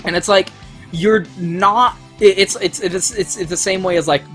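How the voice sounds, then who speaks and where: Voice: mid-range voice, fairly even intonation, moderate pace, fairly bright tone. Swearing, ranting co-host over faint music. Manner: swears a lot, rants with heat. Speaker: let's play co-host. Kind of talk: casual chat while gaming.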